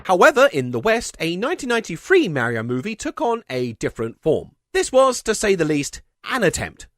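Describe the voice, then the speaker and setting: A man hosting a video essay, delivering scripted comedic narration in a wry way with deadpan asides. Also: high voice, fairly quick. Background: music under the voice.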